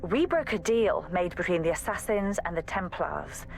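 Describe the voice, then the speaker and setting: A woman's voice: fairly low pitch, expressive, normal speed, vocal fry, soft background music. An actress playing an exasperated, protective young woman assassin in a video game audio log, scolding and pleading emphatically. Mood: weary, pleading.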